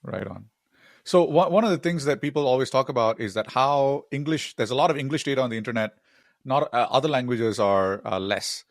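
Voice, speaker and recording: A man's voice: medium pitch, a little fast, dry recording, studio quality. A man hosting a podcast interview, asking long rambling questions full of filler words. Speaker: podcast host. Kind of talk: casual interview.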